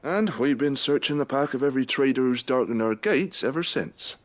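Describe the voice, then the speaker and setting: An actor playing a gruff, talkative mercenary gate guard in a video game, with a British accent and a blunt, colloquial, grumbling manner. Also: male voice, mid-range voice, expressive, moderate pace, natural voice. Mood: grudgingly helpful.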